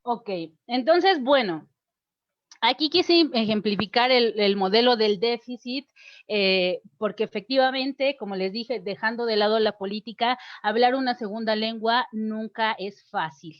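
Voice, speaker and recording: A woman with a Mexican accent lectures students, raising questions and answering them herself. Her voice sits fairly high, and she often restarts words as she thinks aloud. The recording sounds dull and muffled, like lo-fi lecture audio. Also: unhurried. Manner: explanatory, poses questions and answers them, frequent fillers and restarts. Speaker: literature teacher giving a lecture.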